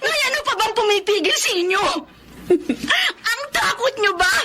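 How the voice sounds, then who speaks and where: An actress with a Filipino accent playing an earnest, protective young daughter and older sister in a radio drama. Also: female voice, very high-pitched, lively intonation, moderate pace, very noisy. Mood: tearful urging. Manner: pleading, emphatic.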